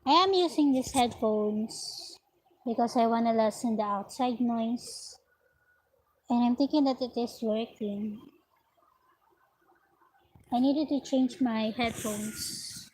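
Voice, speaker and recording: A woman vlogging about her crochet work in an unhurried, chatty way, her voice slightly raspy. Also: a little high, darkish tone, rough recording. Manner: unhurried, chatty, talks while working. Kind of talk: slow casual vlog narration while crocheting. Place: at home, indoors.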